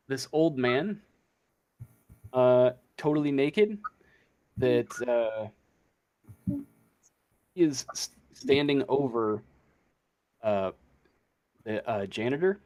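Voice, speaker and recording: A man acting as game master, improvising a tabletop story in a casual, halting way as he searches for words. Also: medium pitch.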